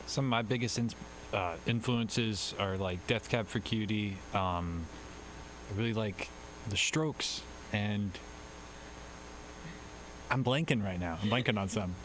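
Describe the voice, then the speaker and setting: Hesitant low-voiced man, hissy recording. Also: a little slow, long pauses. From Tennessee, United States. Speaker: bassist, interview guest. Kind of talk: casual chat. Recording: hissy, off-mic studio pickup.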